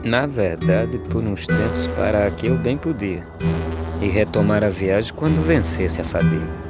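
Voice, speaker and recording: Actor as deep-voiced weary Brazilian migrant farmhand. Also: male voice, expressive, normal speed, close to an everyday voice. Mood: weary, pondering. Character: weary humble male migrant farm labourer, earnest. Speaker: narrator and lead character of animated verse drama. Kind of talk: dramatic verse recitation. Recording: music under the voice.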